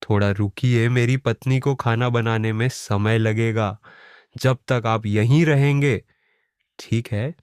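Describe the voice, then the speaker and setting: A man's voice: medium pitch, fairly even intonation, slow, a little mellow, clear, resonant tone, no room sound, clean studio sound, natural voice. A man voicing a hapless, cheeky village priest in a cartoon, with a theatrical, pleading manner. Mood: placating.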